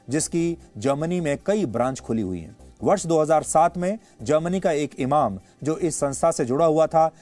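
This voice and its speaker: A male news anchor with an Indian accent delivering opinionated commentary in a deliberate, emphatic way with rhetorical repetition. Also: fairly high pitch, a little mellow. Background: background music.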